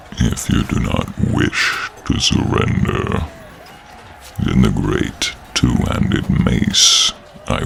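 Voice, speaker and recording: A man voicing a giant enemy knight in a fantasy audio role-play, formal and courteous yet menacing, with an imposing, deliberate delivery. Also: a bit bright, a little hiss or hum, exaggerated voice. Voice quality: very deep, gravelly.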